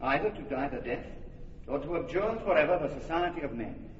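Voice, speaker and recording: A commanding duke played by a man delivers his judgments in a measured, slightly high voice. The sound is distant and echoey, with the dull hiss of an old radio recording. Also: lively intonation, lightly acted. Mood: stately. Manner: measured, authoritative verse speech. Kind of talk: old radio verse drama.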